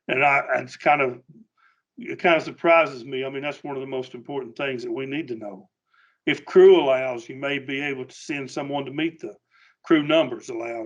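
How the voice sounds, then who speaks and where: A middle-aged man giving an informal workplace safety training talk in a plainspoken, halting, folksy manner. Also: medium pitch, dull audio.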